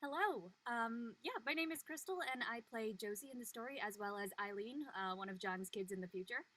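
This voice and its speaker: A woman's voice: on the high side, fairly quick, a bit bright, rough recording. A woman, an actor on a live show, chatting casually about her character with lots of "like" and "you know".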